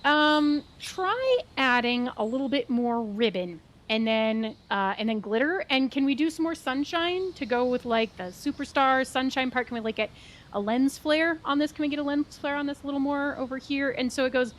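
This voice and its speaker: A woman acts a frazzled gnome coach of magical girls in an audio comedy. Her fairly high voice is bubbly and rambling, with some noise behind it. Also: moderate pace, lightly acted. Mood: busy, enthusiastic.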